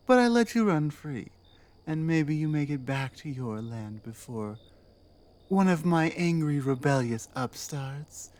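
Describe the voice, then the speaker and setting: A woman playing a commanding, distrustful orc queen in an ASMR roleplay, sardonic and teasing, speaking low and dropping into murmurs. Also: darkish tone. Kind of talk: ASMR roleplay, addressing the listener.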